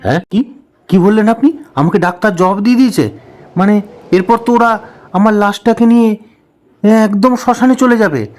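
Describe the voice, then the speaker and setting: A man's voice: sits high, normal speed, pauses often, darkish tone, lightly acted. A man voicing a brash, indignant ordinary village husband in a comic cartoon, protesting and insistent. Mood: alarmed protest.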